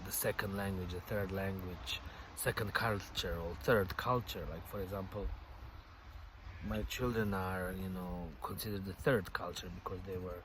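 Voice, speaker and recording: Musing low-voiced man. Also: steady tone, moderate pace. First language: Bosnian. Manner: rambling and musing, with many "you know" fillers and soft laughs. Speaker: vlogger. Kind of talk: casual reflective monologue. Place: outdoors on a bench by a lake. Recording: outdoor noise with passing traffic.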